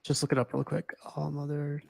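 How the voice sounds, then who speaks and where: A man with a slightly high, gravelly voice hosts a relaxed stream chat. He is enthusiastic, laughs often and keeps calling his guest "man." The sound is dry and close. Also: fairly quick, no echo. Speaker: stream host. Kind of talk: casual chat.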